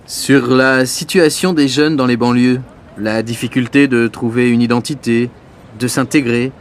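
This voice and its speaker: An actor playing an enthusiastic young amateur performer in a scripted interview dialogue. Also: male voice, medium pitch, steady tone, unhurried, a little hiss or hum, natural voice.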